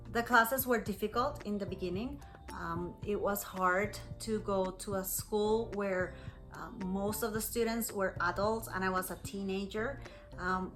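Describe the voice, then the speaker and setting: Woman, Costa Rican Spanish accent, bright crisp-edged tone, mid pitch, encouraging teacher, personal storytelling for learners. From Costa Rica. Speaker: English teacher.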